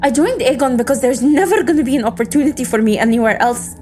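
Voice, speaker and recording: A woman's voice: sits high, quick pace, a little mellow, soft background music, lightly acted. A woman playing an embittered, defiant agent in an audio drama, with a world-weary, intense, sardonic delivery. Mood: weary, grieving. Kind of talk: scripted audio drama monologue and dialogue.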